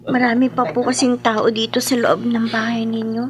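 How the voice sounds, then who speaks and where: A woman's voice: on the high side, little pitch movement, moderate pace, natural voice. A woman playing a polite, timid young village maiden in a radio drama. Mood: polite, subdued. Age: young adult. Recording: music behind the dialogue.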